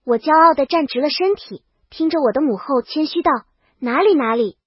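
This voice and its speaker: A woman's voice: high voice, fairly quick, dry recording, lo-fi sound. A woman narrating a comedic story in the first person, in storybook style. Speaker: audiobook narrator.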